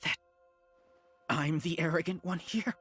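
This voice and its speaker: An actor playing a proud, resentful scholar villain in a video game, with a husky voice and dramatic delivery. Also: male voice, on the high side, a little slow, a bit bright, faint music behind. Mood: bitter, wounded. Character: proud, bitter defeated male scholar villain. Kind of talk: English video game voice acting.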